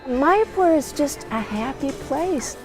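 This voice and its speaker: A woman's voice: high voice, animated, slow, loud music behind the voice. A woman from Detroit answering interview questions in a cheerful, chatty way. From Detroit, United States.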